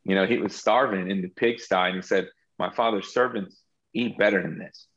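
A man's voice: low voice, steady tone, moderate pace, a bit bright, muffled recording. A man sharing his faith story as a guest in an interview, earnest and reflective, often restarting his sentences. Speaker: guest entrepreneur.

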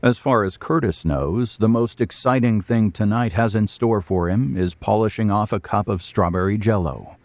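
A man narrating a scripted audio drama as a storyteller, in a deliberate, literary manner. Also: lowish voice, a little mellow, no room sound.

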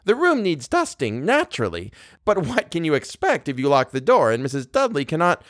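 A man's voice: on the high side, wildly swooping pitch, a little fast, a bit bright, no echo, studio quality, pitched above the performer's own voice. A man voicing a haughty, bossy older wife in an audiobook, with an imperious, emphatic, self-important manner. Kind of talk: audiobook character voice.

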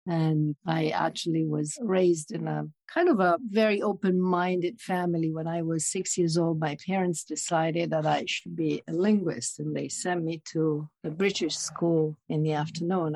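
A woman's voice: low-pitched, fairly even intonation, moderate pace, gravelly, few pauses, darkish tone. A middle-aged woman from Italy, a podcast guest who speaks English as an in a playful, teasing way. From Milan, Italy. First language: Italian. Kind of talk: casual interview chat.

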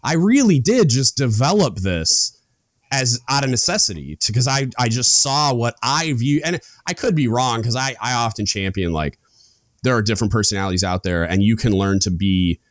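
A man hosting a podcast, talking frankly in a loud booming voice, rambling with lots of "like" and casual swearing. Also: lively intonation, normal speed, dry recording. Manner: rambling, heavy use of "like", frank and profane. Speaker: podcast host. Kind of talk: casual chat.

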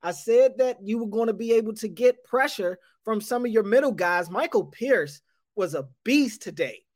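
Male sports reporter, measured breakdown style, dry close mic. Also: high-pitched, lively intonation, no room sound. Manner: measured, stacks up points with restarts. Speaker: sports radio host and beat reporter. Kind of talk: casual sports analysis.

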